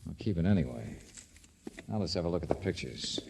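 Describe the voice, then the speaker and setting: A man's voice: sits low, very animated, a little fast, creaky, background noise, heavily acted. A man playing a cool, wry private detective in a 1940s radio drama, with a dry, clipped, casually wisecracking manner. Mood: musing, wry.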